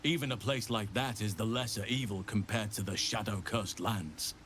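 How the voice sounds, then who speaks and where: An actor playing a grave druid counsellor in a fantasy video game, speaking in a formal, deliberate, earnest way. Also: male voice, fairly low pitch, a bit bright, a little hiss or hum, lightly acted. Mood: grave, warning.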